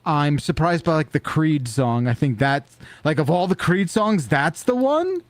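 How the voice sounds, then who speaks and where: A man with a fairly high voice hosts a loose, chatty podcast. His pitch moves around a lot as he teases and swears casually. The sound is dry and close. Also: unhurried, dry recording. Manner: animated, swears casually, teasing. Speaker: podcast host.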